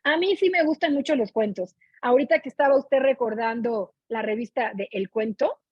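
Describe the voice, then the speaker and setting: A woman's voice: fairly high pitch, moderate pace, husky. A middle-aged woman with a Mexican accent, chatting expressively about what she reads as a club participant, often checking for agreement. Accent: Mexican Spanish. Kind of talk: casual spoken book commentary. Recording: muffled, echoey online meeting audio.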